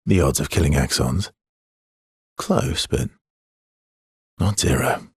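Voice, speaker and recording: A weary, gentle adventurer in a video game, very husky and breathy. His low voice takes its time and sounds dry and close, with measured, understated lines. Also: sing-song, no room sound, heavily acted. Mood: teasing. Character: weary, gentle, guilt-burdened adult male adventurer.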